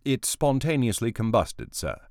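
Guy doing a polite yes-sir assistant, formal and deferential, lively pitch. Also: normal speed, no echo, studio quality. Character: dutiful male personal assistant.

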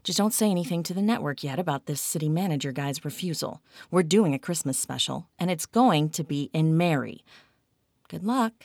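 A woman's voice: deep voice, lively intonation, normal speed, darkish tone, dry recording, clean studio sound. A woman voicing an anxious pregnant female TV producer who speaks in a placating, hesitant way.

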